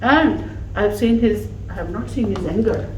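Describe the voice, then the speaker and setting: A woman whose first language is Urdu giving a slow, heartfelt tribute speech at a farewell gathering. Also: mid-range voice, crowd noise. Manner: slow, warm, emphatic, laughing between phrases. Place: office gathering room. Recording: echoey room from far off, faint noise.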